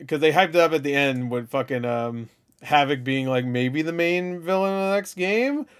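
A man's voice: on the high side, normal speed, clean tone. A man hosting a reaction video with casual, joking commentary, irreverent and slangy with some swearing.

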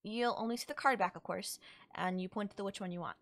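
A woman's voice: medium pitch, no echo, lightly acted. A woman playing a teasing young forest witch in an audio roleplay, coy and soothing as she talks directly to the listener. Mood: coy, inviting. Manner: coy, soothing, conversational with drawn-out words. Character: playful, teasing young female forest witch, gently reassuring. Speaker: roleplay voice actor.

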